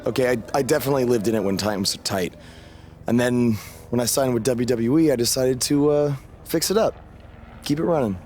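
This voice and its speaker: Guy playing young underdog wrestler, casual n hesitant, wry, medium pitch, slight background noise, game cutscene. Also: a little fast, darkish tone, close to an everyday voice. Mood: modest, reflective. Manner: casual, hesitant conversational delivery. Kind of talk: video game cutscene dialogue.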